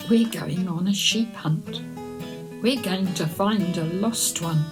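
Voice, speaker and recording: A woman reads to young children at a very unhurried pace. Her delivery is expressive and deliberate, with a sing-song storyteller's lilt. Music plays clearly along with her voice. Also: lowish voice. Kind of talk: animated chanted story reading for young children.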